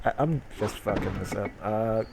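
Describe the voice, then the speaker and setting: A man's voice: on the low side, normal speed, gravelly, background noise. A man reading a story aloud as a streamer and breaking off for irreverent, sweary commentary. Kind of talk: dramatic reading with mocking live commentary.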